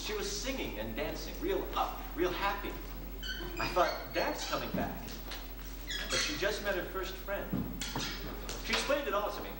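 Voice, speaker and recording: Young man acting a disillusioned teenage son, very echoey. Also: fairly high pitch. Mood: wistful. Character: disillusioned teenage son talking about his divorced parents. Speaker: student actor. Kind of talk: rehearsed readers theatre monologue. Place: school room with wooden floor. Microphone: distant camcorder microphone. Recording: echoey, noisy camcorder from far off.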